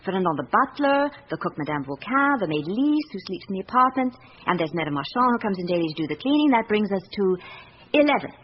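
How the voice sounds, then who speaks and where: Expressive, confiding British secretary played by an actress. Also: female voice, medium pitch, fast talker, lightly acted. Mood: candid. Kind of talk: radio drama acting. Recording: muffled radio recording with background hiss.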